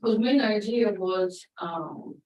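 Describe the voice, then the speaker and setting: Russian-native woman in the audience, slow and halting, lots of ums, echoey room, sounds far from the mic. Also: mid-range voice, dull audio. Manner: slow and hesitant, many fillers. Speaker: audience member, poet and psychoanalyst. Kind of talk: audience comment at a lecture Q&A. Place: lecture room. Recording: roomy hall, voice from off mic.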